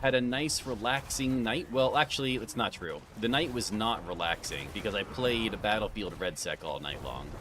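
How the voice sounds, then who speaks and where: Brief: laid-back man, gamer streaming live, lowish voice, chatty with viewers, steady hiss of noise behind him. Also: normal speed, hardly any pauses. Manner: relaxed, chatty, greets viewers by name and calls them sir. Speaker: livestream gamer. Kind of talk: casual live-stream chat while gaming. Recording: clear background noise.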